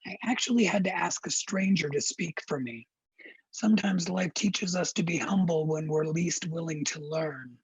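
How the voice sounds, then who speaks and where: A middle-aged man speaks through a heavy rasp, his voice very husky and airy. He tells a personal story with wry candor at a steady, measured pace. The recording is dry and dull, with a lo-fi sound. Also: a little high, no echo. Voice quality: raspy, breathy voice after throat surgery. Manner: wry, candid, measured delivery. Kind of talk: personal storytelling to a virtual audience.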